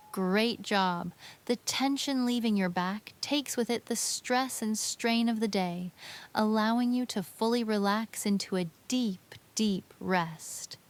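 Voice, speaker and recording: Slow-paced woman guiding sleep meditation. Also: fairly high pitch. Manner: gentle, soothing, unhurried instruction. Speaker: meditation guide. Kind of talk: guided sleep meditation, scripted narration.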